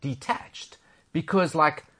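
A man from South Africa on a talk radio show, telling stories in a playful, animated way. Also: on the high side, takes it slowly. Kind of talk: casual talk radio banter.

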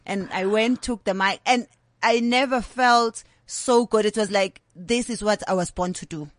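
A South African woman in her thirties speaks as a comedian guest, telling frank, lively stories. Her voice sits at an ordinary pitch for a woman. The sound is dry and close. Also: moderate pace, no echo. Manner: candid, animated storytelling mixing English with Zulu. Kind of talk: interview conversation.

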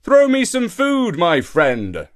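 A man's voice: fairly high pitch, huge pitch swings, a little slow, pitched above the performer's own voice. A man voicing a friendly but scheming, rather gullible shark in an animal folktale, coaxing and emphatic. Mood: calling out.

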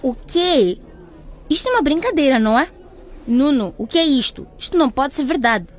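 A woman's voice: high-pitched, sing-song, moderate pace. A young woman playing an earnest, worried schoolgirl daughter in a radio drama. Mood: shocked.

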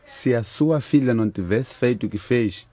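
An actor playing a patient doctor in a radio drama, calm and reassuring as he explains things. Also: mid-range voice, moderate pace, dry recording, natural voice.

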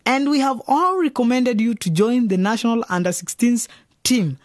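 A woman playing a cocky, excitable teenage boy footballer who bickers with his sister in a radio drama. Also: a little high, moderate pace, dry recording, studio quality, close to an everyday voice. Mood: overjoyed. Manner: brash, dismissive, excitable.

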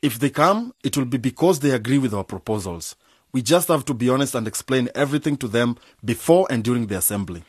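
An actor playing a practical, assured cooperative organiser in a radio drama, speaking in a methodical, matter-of-fact way. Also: male voice, mid-range voice, expressive, quick pace, husky, dry recording, studio quality. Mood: firm.

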